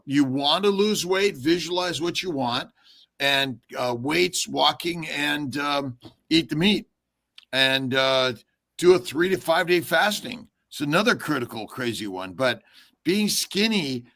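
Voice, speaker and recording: A man who is a doctor, co-hosting a casual online talk, upbeat and halting, with many fillers. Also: fairly high pitch, lively intonation, gravelly, fairly bright tone. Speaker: doctor and co-host. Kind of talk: casual livestream chat.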